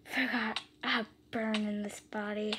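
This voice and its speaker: A woman voicing a sinister female animatronic fox spirit in breathy, muttered role-play over a video game. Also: mid-range voice, flat delivery, measured pace, pushed and bright, a little hiss or hum. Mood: scheming.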